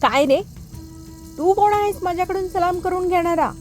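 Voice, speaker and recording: A woman's voice: very high voice, normal speed, soft background music, voice pushed up. A woman voicing a defiant mother goat in a children's cartoon story, indignant and outspoken.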